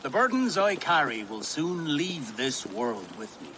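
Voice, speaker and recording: A man's voice: a little high, big pitch swings, a little slow, bright, forceful tone, heavily acted, low-quality recording. A man playing a merry, carefree village elder resigned to his fate, in a dramatic game cutscene. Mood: serene. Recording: clear outdoor ambience noise.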